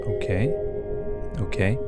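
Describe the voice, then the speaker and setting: Deep-ish guy playing a game, casual curious commentary, thinking out loud, music really loud behind. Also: slow pace. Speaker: game player giving commentary.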